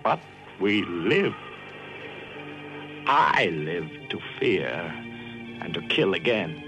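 A man's voice: deep voice, sing-song, extremely slow, over music, heavily acted. An actor playing a ruthless, starving castaway who becomes a murderer and narrates his own story in 1940s radio drama style. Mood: brooding. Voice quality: hard-edged, brooding first-person narration. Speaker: narrator and lead character. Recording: hissy old radio broadcast recording.

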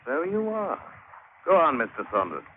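A man's voice: on the high side, huge pitch swings, normal speed, narrow, tinny audio, slight background noise. An actor playing an old English seaman with a British accent, telling his haunted adventure in colloquial sailor talk in a 1940s radio drama. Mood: curious, wary. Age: older. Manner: colloquial storytelling with sailor slang.

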